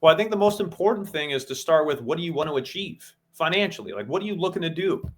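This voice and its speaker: A man's voice: fairly high pitch, animated, fast talker. A man co-hosting a casual business podcast who explains things methodically, step by step. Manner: methodical, breaks goals down step by step. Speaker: podcast co-host.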